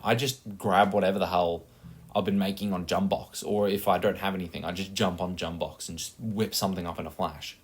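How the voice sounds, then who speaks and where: Deep-voiced Australian guy chatting over gameplay. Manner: rambling, self-mocking, many fillers. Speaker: gaming channel host. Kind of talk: casual gameplay chat.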